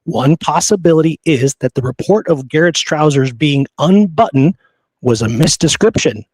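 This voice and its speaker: A man co-hosts a conversational history talk in a close, dry sound. His voice sits at a fairly high pitch for a man. He makes his points emphatically and likes to restate them. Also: lively intonation, moderate pace, a little mellow, breathy edge, dry recording. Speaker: podcast co-host. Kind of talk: conversational historical discussion, sometimes reading quotes.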